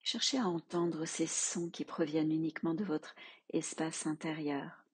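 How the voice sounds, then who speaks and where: A woman teaching yoga nidra, leading a guided relaxation in a soft, calm, measured way with long pauses. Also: low-pitched, breathy edge.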